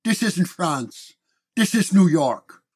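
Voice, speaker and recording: A man voicing a gruff, hostile old-school New York police sergeant in an audio detective story, curt and sneering. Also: sits high, very animated, takes it slowly, a little mellow, voice pushed up, full character voice.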